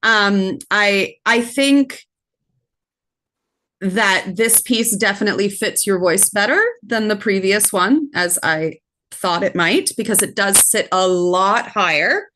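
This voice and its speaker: Female judge, native French speaker, unhurried and measured delivery, constructive feedback to a contestant over a video call. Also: mid-range voice, bright, forceful tone. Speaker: singing competition judge. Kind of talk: spoken critique to a contestant.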